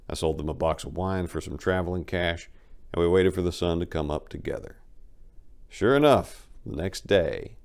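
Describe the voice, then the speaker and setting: A low-pitched male narrator delivers a first-person story as a dramatic reading. He leans hard on some phrases and lets others fall quieter, in the manner of an audiobook performance.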